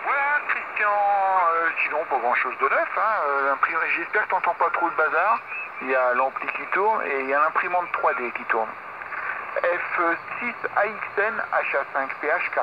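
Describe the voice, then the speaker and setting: A French man talks from his home radio station in a relaxed, technical way. His voice sits a little high. The audio comes through muffled, with steady hiss underneath. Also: normal speed. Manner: easygoing, technical, with light laughs. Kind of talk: casual radio contact chat. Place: home radio shack with amp and 3D printer running.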